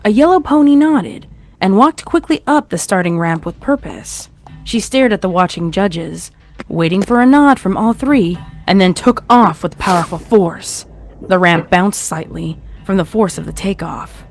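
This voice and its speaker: A woman's voice: mid-range voice, lively intonation, measured pace. A woman reading a scripted story as its narrator, in a dramatic storytelling style. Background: faint music.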